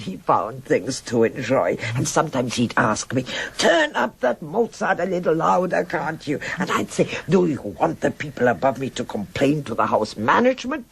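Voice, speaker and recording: An old woman of faded glamour in a radio drama. Her voice is gravelly and husky, swooping widely as she looks back with frank, worldly nostalgia. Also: on the low side, heavily acted. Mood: nostalgic. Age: older. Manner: theatrical, rambling reminiscence with sighs and interjections.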